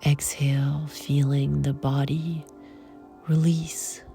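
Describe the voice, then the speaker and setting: A woman leading a guided meditation in soft, breathy, short phrases with long pauses, often trailing toward a whisper. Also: deep voice, level tone, soft background music.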